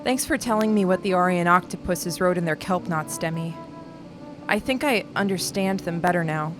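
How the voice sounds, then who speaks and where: A woman's voice: on the low side, normal speed, natural voice. Earnest, curious young alien played by a woman, music behind. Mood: sincere, accepting. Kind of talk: audio drama dialogue.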